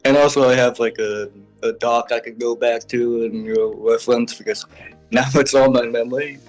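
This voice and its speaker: Guy being interviewed, medium pitch, casual, kinda halting, repeats himself, music behind him. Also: fairly even intonation, low-quality recording. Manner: halting, repeats short phrases. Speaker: interview guest, web series creator. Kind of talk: casual chat.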